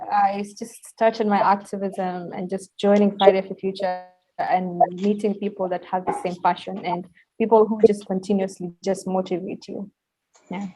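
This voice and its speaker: Earnest woman, mellow voice. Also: mid-range voice, slight background noise, rough recording. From Kenya. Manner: earnest, many fillers and repeated words. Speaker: panelist, climate activist. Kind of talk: panel discussion answer.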